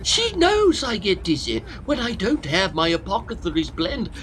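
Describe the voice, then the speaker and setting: An actor playing a fussy, self-pitying hypochondriac old Victorian professor in a comic audio drama, theatrical and pompous. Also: male voice, high voice, huge pitch swings, normal speed, fairly bright tone, a little hiss or hum, full character voice. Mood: fretful, impatient.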